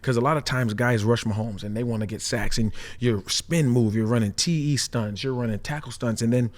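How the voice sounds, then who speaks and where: A man, a former pro safety, breaking down defensive play in casual sports talk, explaining step by step and tagging points with "right?". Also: medium pitch. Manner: fast, explanatory, frequent "right?" tags.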